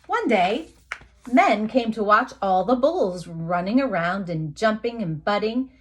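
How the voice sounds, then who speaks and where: A woman teacher reading a picture book aloud to young children, expressively, with dramatic emphasis, pauses and asides to her listeners. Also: medium pitch, expressive.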